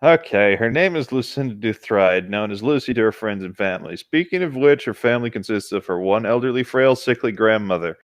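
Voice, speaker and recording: A man's voice: fairly low pitch, lively intonation, fairly quick, few pauses, dry recording, clean studio sound, close to an everyday voice. Man acting a formal, theatrical wizard henchman. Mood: reporting. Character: haughty older male wizard henchman, obsequious to master.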